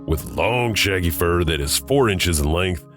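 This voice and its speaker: A man hosts and narrates legends in a scripted, musing storytelling style. His voice is very deep yet lively. Faint music runs underneath. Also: measured pace. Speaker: narrator and host.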